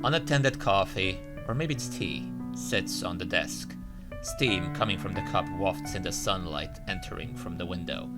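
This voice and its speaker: A man's voice: low voice, moderate pace. A man hosting a let's play, chatting casually and reading the game's text aloud. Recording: music behind the voice. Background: game's guitar music.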